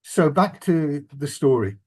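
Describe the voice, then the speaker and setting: An older man giving an illustrated family history talk as the presenter and author, speaking in a measured, reflective way with occasional fillers. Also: fairly high pitch, expressive, mellow, breathy edge.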